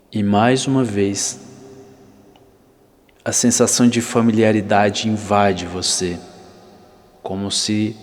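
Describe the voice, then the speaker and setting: A man with a Brazilian accent narrating an ASMR guided visualization in a soothing, deliberate manner with long pauses. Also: fairly low pitch. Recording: long reverb, faint hiss and ambience.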